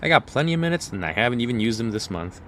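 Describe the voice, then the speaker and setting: A man's voice: mid-range voice, very animated, very fast, background noise, lightly acted. A man playing a friendly, frank, well-off young man in an audio drama. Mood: friendly, earnest. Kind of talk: audio drama character acting.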